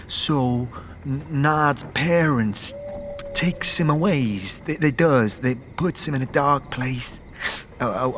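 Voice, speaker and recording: A man playing a broken, frightened, simple-minded vagrant in an audio drama, stammering and halting as he muddles through, speaking of himself in the third person. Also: medium pitch, a bit bright, a little hiss or hum.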